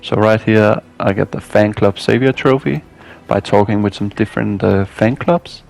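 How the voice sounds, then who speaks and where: A man's voice: fairly low pitch, moderate pace, vocal fry, a little mellow. A man narrating a scripted video game walkthrough as a guide.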